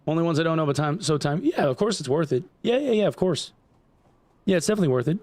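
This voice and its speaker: A man in his forties chats with his livestream audience while gaming, rambling and swearing freely with plenty of "like" and "dude". His voice sits a little high for a man. He sounds close and dry, with almost no room around him. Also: lively intonation, quick pace, a little mellow, dry recording. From upstate New York. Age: 40s. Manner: loose, profane, rambling, heavy on "like" and "dude". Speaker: gaming streamer.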